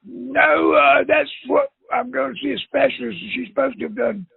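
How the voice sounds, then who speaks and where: A man's voice: extremely high pitch, normal speed, creaky. A man in his sixties, talking casually and at length on a phone call, rambling and chuckling. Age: 60s. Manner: rambling, chuckling, emphatic complaints. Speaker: insurance customer on the phone. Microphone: telephone line. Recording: tinny phone line.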